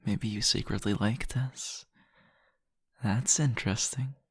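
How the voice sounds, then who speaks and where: Slow, husky seductive vampire played by a man. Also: lowish voice, fairly even intonation, dry recording, clean studio sound, full character voice. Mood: possessive, teasing. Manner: slow, teasing, murmuring, deliberate. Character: seductive, possessive male vampire host, teasing and predatory. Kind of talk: intimate ASMR roleplay addressed to the listener.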